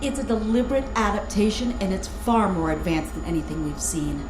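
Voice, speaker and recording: An actress playing a brisk female military scientist in a sci-fi audio drama, assertive and explanatory. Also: mid-range voice, steady tone, background noise, natural voice. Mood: assured, serious. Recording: echoey room under music.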